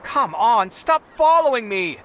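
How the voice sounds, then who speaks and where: A man's voice: very high voice, big pitch swings, measured pace, a bit bright, a little hiss or hum, heavily acted. A man playing a put-upon, dramatic young inventor in an anime game, speaking earnestly. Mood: exasperated.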